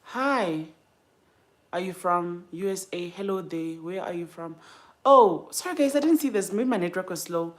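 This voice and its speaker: A young South African woman hosts a casual livestream in a slightly low voice. She is chatty and informal, thinking aloud as she goes and often letting sentences trail off before picking up a new one. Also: animated, normal speed. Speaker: livestream host. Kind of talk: casual chat while doing makeup.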